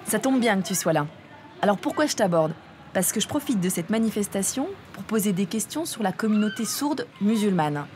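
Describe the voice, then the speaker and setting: Calm, clear woman reporter; mid voice, faint noise behind. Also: medium pitch, fairly quick. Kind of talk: documentary narration and street interviews. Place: outdoor public event.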